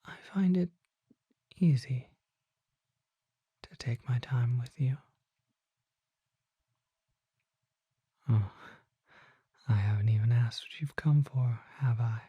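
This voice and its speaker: A performer voicing a courteous, mysterious vampire merchant in an ASMR roleplay, speaking in a breathy whisper with formal, polite phrasing. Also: mid-range voice, no echo, lo-fi sound. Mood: apologetic, gentle. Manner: formal, polite, unhurried, old-fashioned phrasing.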